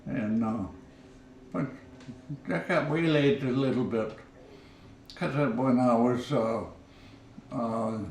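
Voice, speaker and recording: Slow, halting man in his nineties. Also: mid-range voice, a little mellow, reverberant, distant mic, a little hiss or hum. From Minnesota, USA. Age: 90s. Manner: halting, repeats words, chuckles. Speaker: interviewee. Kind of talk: oral history reminiscing. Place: living room at home.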